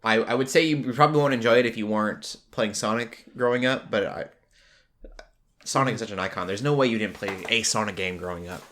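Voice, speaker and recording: A man's voice: fairly low pitch, brisk, a bit bright. A young adult man hosting a casual conversation about movies. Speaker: podcast host.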